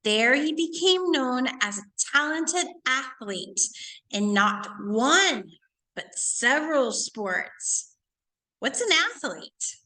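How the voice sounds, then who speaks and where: A woman's voice: high-pitched, very animated, slow pace, sharp tone, poor audio. A woman teacher reading aloud to children, stopping to ask questions and explain things in a didactic way. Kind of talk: read-aloud lesson for children with asides and questions.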